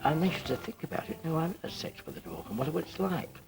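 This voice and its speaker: A man's voice: fairly high pitch, fast, vocal fry. A man telling anecdotes in an interview, acting out dialogue as he goes. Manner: animated storyteller, acting out dialogue. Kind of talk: anecdotal talking-head interview. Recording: faint music behind, VHS tape.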